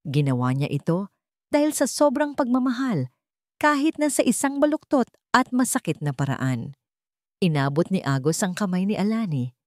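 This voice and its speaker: A woman narrating a dramatic scripted story in an emotive, measured storytelling manner. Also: mid-range voice, big pitch swings, darkish tone, dry recording, clean studio sound.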